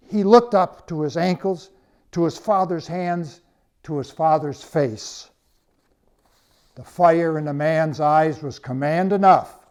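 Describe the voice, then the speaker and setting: A man reading his own story as scripted narration, with measured, dramatic phrasing and pauses. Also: fairly high pitch, a little mellow.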